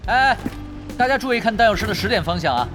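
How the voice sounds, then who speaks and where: A man's voice: sits high, expressive, fast, fairly bright tone. A man playing a cocky, playful young expert gamer in a Chinese audio drama, teasing and nonchalant with a theatrical delivery. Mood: alert. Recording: music behind the voice.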